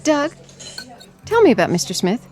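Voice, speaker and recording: A woman's voice: sits high, wildly swooping pitch, moderate pace, a little mellow. An actress playing an editor's worried, probing girlfriend in a radio drama, earnest, insistent and easily wounded. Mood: suspicious. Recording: radio drama with music underneath.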